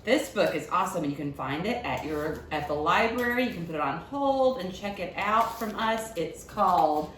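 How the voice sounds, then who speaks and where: A woman's voice: on the low side, expressive, moderate pace, hardly any pauses, a bit bright. A woman hosting a children's story and cooking video, talking expressively to a small child in simple questions and casual storytelling. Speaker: library staff host of a children's story and recipe video. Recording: roomy echo, clear background noise.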